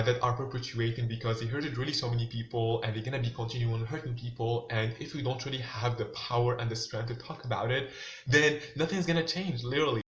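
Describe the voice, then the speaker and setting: A man's voice: mid-range voice, a bit bright, slight background noise. Young guy vlogging, tons of fillers, echoey room, kinda muffled. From Tunisia. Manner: loose, filler-heavy talk, "like" and "you know what I'm saying" everywhere. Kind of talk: casual monologue to camera. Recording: roomy and dull, lo-fi.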